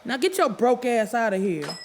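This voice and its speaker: A woman's voice: fairly high pitch, big pitch swings, normal speed, a little hiss or hum, voice pushed up. A woman voicing a dismissive, crude cheating man in an audiobook, blunt, insulting and commanding. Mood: scornful.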